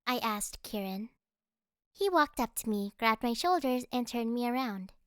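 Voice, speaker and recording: A woman's voice: fairly high pitch, animated, a little slow, smooth, no room sound, clean studio sound. A woman reading scripted first-person fantasy narration as an audiobook narrator.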